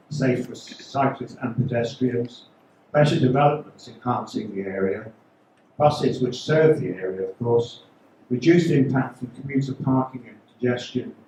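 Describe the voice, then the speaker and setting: A man's voice: mid-range voice, a little hiss or hum. Man giving a campaign speech in an echoey hall. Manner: steady, reads points as a list. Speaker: local election candidate. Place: school hall at a public meeting.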